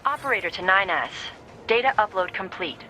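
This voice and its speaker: Formal military operator. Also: female voice, medium pitch, lively intonation, brisk, pushed and bright, slight background noise, rough recording. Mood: businesslike. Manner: formal, procedural. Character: crisp, official female military radio operator. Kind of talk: video game voice acting.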